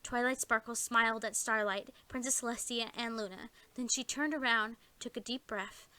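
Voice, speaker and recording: A woman's voice: on the high side, steady tone, normal speed, sharp tone, no echo. A woman narrating a fan fiction story as its storyteller.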